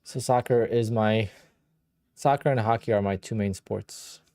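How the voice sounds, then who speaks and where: A man's voice: on the low side, steady tone, a little slow, a little mellow, no echo, clean studio sound. A man with a Polish accent, Polish his first language, hosting a casual livestream and answering viewer questions in a blunt, offhand way. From Poland. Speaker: livestream host.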